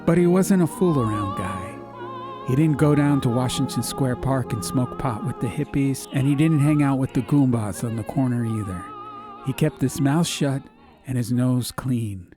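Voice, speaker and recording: A man's voice: mid-range voice, normal speed, music in the background. A man reading an atmospheric story as an audiobook narrator, in a scripted literary style.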